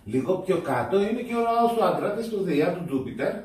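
A man's voice: high-pitched, lively intonation, a little mellow, far from the mic. A man in his thirties giving a casual house tour, explaining things to viewers. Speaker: father in a family travel vlog. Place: small stone-walled holiday apartment. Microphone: camera mic from a few steps away. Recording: roomy echo, off-mic, faint noise.

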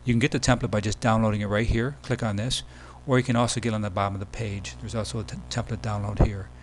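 A man giving a casual, step-by-step software tutorial as the presenter of a screen demo. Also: on the low side, steady tone, fast talker. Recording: background hum and noise.

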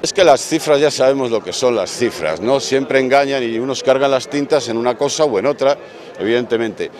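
A man's voice: medium pitch, animated, a little fast. Man giving a firm street interview.

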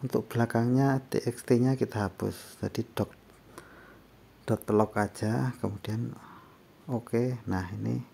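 A man gives a casual step-by-step software tutorial, explaining in a halting way. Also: medium pitch, steady tone, gravelly, breathy edge. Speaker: tutorial presenter. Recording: faint background noise.

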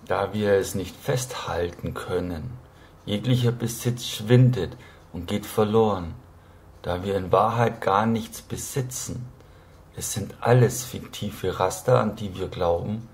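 A man narrating a scripted, dramatic monologue and story as a storyteller. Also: low voice. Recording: loud music over the voice.

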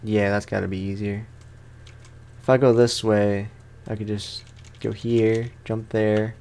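A man's voice: fairly low pitch, flat delivery, measured pace, darkish tone. A young man, sounding tired, gives casual let's play commentary as a gaming host, rambling along in slangy, informal speech.

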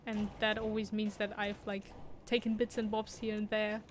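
A woman's voice: medium pitch, completely flat delivery, normal speed, a little hiss or hum. Chatty German woman streaming a game. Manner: chatty, laughs often, reads chat aloud. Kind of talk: casual gameplay commentary.

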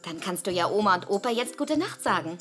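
An affectionate cartoon mother, played by a woman, with an expressive, lilting delivery at medium pitch. She sounds caring and encouraging, over clear music. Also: a little fast, natural voice. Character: warm, caring cartoon mother of a young boy. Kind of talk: animated children's cartoon acting. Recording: music behind the voice.

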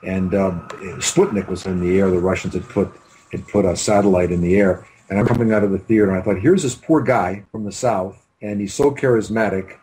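Mellow, low-voiced older man. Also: fairly even intonation, fairly quick, slight background noise, rough recording. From Canada. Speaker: film director, interview guest. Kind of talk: reminiscing in an interview. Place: home room with bookshelves. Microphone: computer mic on video call.

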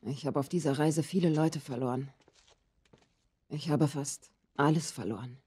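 A woman playing a weary, firm middle-aged resistance leader in a video game, speaking in a measured, serious way with a husky voice. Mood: calm, weary. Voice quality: husky, low, dark voice. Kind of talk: cinematic video game dubbing.

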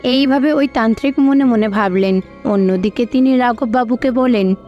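High-voiced woman narrating a storybook. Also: moderate pace. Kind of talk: scripted storybook narration for a cartoon. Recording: slightly dull audio with music behind.

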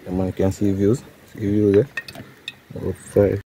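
A man's voice: lowish voice, little pitch movement, measured pace, mellow. A man with a Jamaican accent casually narrating his cooking as host, often repeating phrases and tags. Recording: clear background noise, pot sizzling and clatter.

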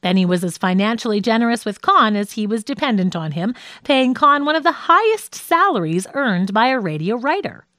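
A woman's voice: a little high, sing-song, fairly quick, few pauses, fairly bright tone, no echo, clean studio sound. A woman reading scripted nonfiction narration for an audiobook.

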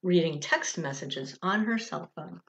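Female children's storyteller: slow, deliberate delivery, animated pitch, slightly dull lo-fi sound. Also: creaky. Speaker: director of religious education, storyteller. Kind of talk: children's story read aloud.

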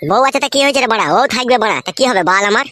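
Man doing a rapid-fire, high-pitched hot-headed cartoon guy. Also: bright, forceful tone, no room sound, clean studio sound. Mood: defiant. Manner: foul-mouthed, belligerent. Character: stubborn, lovesick, hot-headed married young man. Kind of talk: crude comedy cartoon dialogue.

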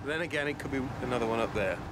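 A man's voice: medium pitch, rapid-fire, very noisy. A man joking around while looking for an old building on a city street outdoors. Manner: jokey, lively. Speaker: band drummer.

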